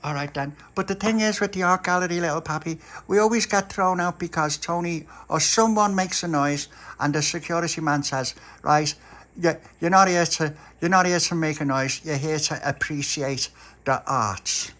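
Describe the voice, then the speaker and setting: A man's voice: a little high, normal speed, a bit bright, voice pushed up, natural voice. A man with a Liverpool accent voicing a sensible little girl who speaks cautiously.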